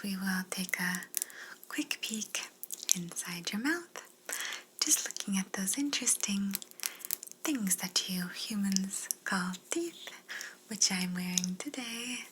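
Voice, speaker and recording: A woman voicing a polite, curious alien examiner in an ASMR roleplay, speaking gently and formally in a breathy whisper. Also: mid-range voice, slight background noise, poor audio. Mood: wistful, curious.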